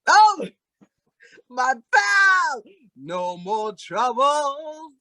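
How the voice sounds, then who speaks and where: An actor playing a sloppy, fawning drunk husband in a comic old-time radio play, with slurred, grandiose speech. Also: male voice, extremely high pitch, very animated, very slow, bright, forceful tone, dry recording, full character voice. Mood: sloppy, elated.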